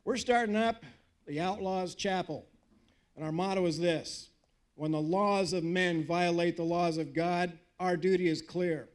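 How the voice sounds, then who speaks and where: An older man speaks high and fervent from a rally stage, preacherly and emphatic. He throws in dry, joking asides between the serious lines, the rhythm of a seasoned speaker working a crowd. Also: steady tone, a little slow. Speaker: guest speaker at a political rally. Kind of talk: fiery patriotic rally speech. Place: live event in front of an audience.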